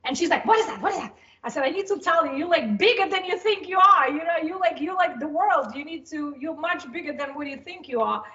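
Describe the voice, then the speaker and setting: High, bright woman from Ukraine. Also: quick pace, dull audio. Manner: lively, laughs often, says "you know" a lot. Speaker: podcast guest. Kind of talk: casual podcast chat.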